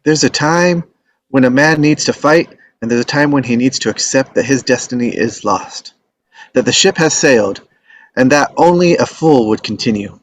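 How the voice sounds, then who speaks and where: A man's voice: mid-range voice, normal speed, a little hiss or hum, lightly acted. A man playing an earnest, lovestruck and stubborn young romantic in a screenplay table read, speaking sincerely and wistfully. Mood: wistful, resolute.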